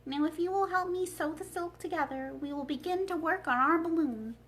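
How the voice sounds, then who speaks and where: A woman's voice: very high voice, a little fast, fairly bright tone, slight background noise, pitched above the performer's own voice. A woman voicing a weary old humbug wizard in a storybook, earnest and emphatic as he explains himself. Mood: eager.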